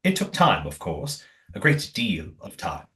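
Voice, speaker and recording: Formal, secretive commune leader, animated intonation. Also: male voice, on the low side, heavily acted. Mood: composed, formal. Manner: formal, measured, evasive. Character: calm, secretive male leader of a night-dwelling commune. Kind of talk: audio play acting.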